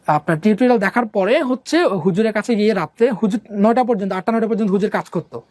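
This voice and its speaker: A man's voice: sits high, fast talker, a little mellow, dry recording. A young man from Bangladesh telling his own life story in a casual, rambling way, with many fillers and English words mixed in.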